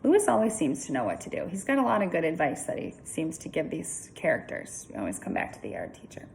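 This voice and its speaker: Woman storyteller, slightly low voice, animated intonation, casual read-aloud with asides, faint background noise. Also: fast, vocal fry, rough recording. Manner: informal, chatty, expressive.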